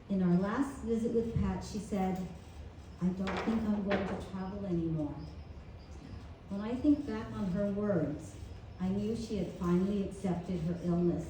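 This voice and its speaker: A woman reads a eulogy at a memorial in a measured, tender way, her tone mellow. She is heard from a distance in a very echoey room, with faint noise behind her. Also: mid-range voice. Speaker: family member giving a eulogy. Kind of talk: reading a prepared eulogy. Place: memorial gathering in a large room.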